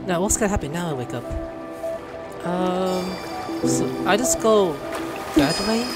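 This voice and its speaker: A woman's voice: lowish voice, lively intonation, measured pace, over loud music. Chatty, playful woman streamer. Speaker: gameplay streamer. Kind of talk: casual let's-play commentary, reading dialogue aloud.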